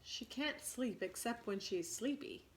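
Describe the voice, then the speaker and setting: A woman's voice: a little high, expressive, unhurried. A woman voicing a cocky, commanding boy leader who never grows up, boastful, indignant and stern, in a storybook read-aloud. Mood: matter-of-fact.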